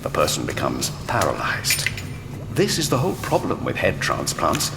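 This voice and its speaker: An actor playing a genteel, grandiose mad scientist villain in an audio drama, theatrical, condescending and mock-courteous. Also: male voice, a bass voice, huge pitch swings, moderate pace, creaky, long reverb tail, heavy background noise, heavily acted. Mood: grandiose, lecturing. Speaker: villainous doctor. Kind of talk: full-cast audio drama acting.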